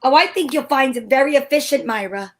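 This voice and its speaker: A woman's voice: high voice, fairly quick, lightly acted. A woman playing a feisty, wry heroine in an old-time radio show, with sassy, theatrical delivery. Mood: friendly. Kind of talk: hobby old-time radio acting with first-person narration.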